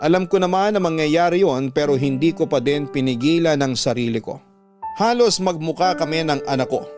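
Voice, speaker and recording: Male radio storyteller over piano music. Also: mid-range voice. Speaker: radio host reading a listener's letter. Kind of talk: dramatic first-person story narration. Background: piano and keyboard music.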